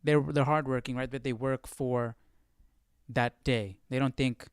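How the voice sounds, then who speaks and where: A man with a smooth, clear voice and mid-range pitch talks casually as a stream host. He reflects aloud, circling back to rephrase his thoughts. The sound is dry, with no room echo. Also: fairly even intonation, unhurried. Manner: thinking aloud, repeating and rephrasing. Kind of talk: casual reflective study commentary.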